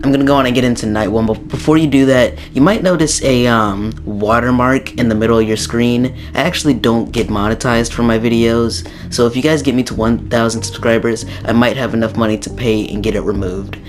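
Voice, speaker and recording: Hoarse young man with a sore throat. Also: mid-range voice, steady tone, a little fast, few pauses, music in the background. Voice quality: slightly raspy. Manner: rambling, many ums and restarts. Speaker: gaming YouTuber. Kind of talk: casual game tutorial.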